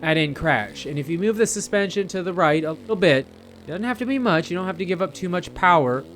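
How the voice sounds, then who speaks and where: A man's voice: high voice, lively intonation, quick pace, fairly bright tone, slight background noise. A man giving casual, rambling gameplay commentary, chatting informally and speaking directly to his viewers. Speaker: gamer narrating gameplay.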